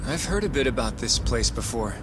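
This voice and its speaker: A man's voice: medium pitch, animated, brisk, noisy background, close to an everyday voice. An actor voicing an earnest, polite young male legal associate in a game cutscene, eager and a little anxious. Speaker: law office assistant.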